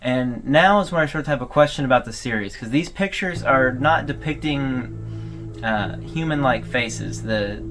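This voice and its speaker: A man's voice: mid-range voice, lively intonation, measured pace, music in the background. A man hosting a video analysis, giving casual, conversational commentary with hesitations and informal asides. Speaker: host of a horror series analysis video.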